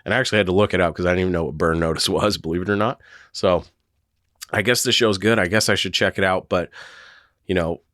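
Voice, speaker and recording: A hoarse man with a very low voice hosts his own podcast, talking casually and rambling with plenty of fillers. He sounds worn down from a cold, and the close mic gives a dry, dead sound. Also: steady tone, fast, a bit bright, dry recording. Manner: rambling, full of fillers and hedges. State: hoarse, getting over a cold. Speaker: podcast host. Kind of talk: casual solo podcast talk.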